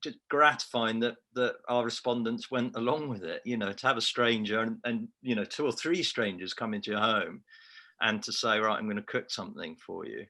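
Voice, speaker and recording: A man's voice: fairly low pitch, brisk, sharp tone. A man with a Liverpool accent, an award-winning researcher, giving a reflective, self-deprecating answer in a remote interview. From Liverpool, England.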